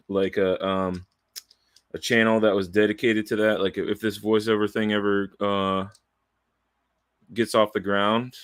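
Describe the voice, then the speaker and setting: A man nearing forty talks into his own microphone at home in a loose, rambling way. His voice sits a little low, and the sound is dry and close, with no room around it. He swears freely, restarts phrases and trails off between thoughts. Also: no room sound. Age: 30s. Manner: candid, profane, wandering, with restarts and long trailing pauses. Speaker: aspiring voiceover artist. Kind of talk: casual rambling monologue. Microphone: studio microphone, close and dry.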